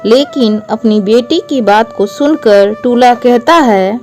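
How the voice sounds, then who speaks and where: Woman narrator for a moral story, higher-toned, very measured pace. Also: darkish tone, soft background music.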